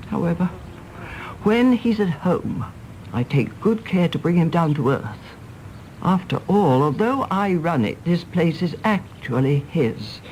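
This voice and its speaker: A woman's voice: fairly low pitch, sing-song, takes it slowly, long pauses, a little mellow, a little hiss or hum, heavily acted, rough recording. An actress playing an outspoken elderly English mother, emphatic and genteel, affectionate but exasperated, in British radio drama style. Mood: indignant, brisk. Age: older.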